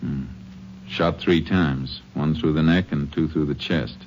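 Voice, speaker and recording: Casting: calm Ranger investigator, very low voice, clipped and matter-of-fact, old radio western with dull hissy sound. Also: male voice, measured pace. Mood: businesslike, probing. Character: calm, methodical male Texas Ranger investigator. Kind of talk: 1950s radio western drama acting and narration. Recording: dull old radio recording with clear hiss.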